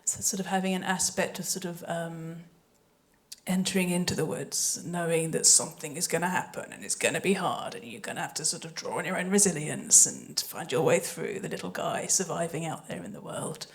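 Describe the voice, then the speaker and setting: Thoughtful woman with lowish voice. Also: fairly quick. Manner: tentative, thoughtful, hedging. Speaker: audio drama maker on a panel. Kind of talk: unscripted panel discussion.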